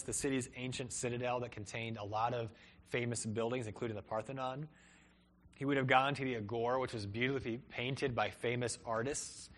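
A man's voice: medium pitch, a bit bright. A young man preaching a sermon, earnest and rising and falling for emphasis, who grew up in Peru and Cleveland. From Peru and Cleveland, Ohio. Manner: earnest, rises and falls for emphasis. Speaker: pastor.

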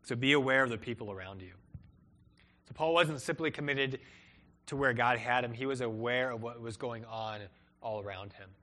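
A man's voice: mid-range voice, moderate pace, sharp tone. Earnest young male preacher. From Peru and Cleveland, Ohio. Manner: earnest, rises and falls for emphasis. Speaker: pastor. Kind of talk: sermon.

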